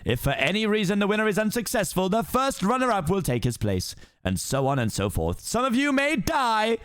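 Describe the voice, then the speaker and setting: A pompous, scheming lord, voiced by a man reading aloud who speaks in grand proclamations. His voice sits above the reader's usual pitch and slows for the dramatic lines. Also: very animated, fairly bright tone, smooth, no echo, clean studio sound. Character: pompous, scheming small-statured male lord.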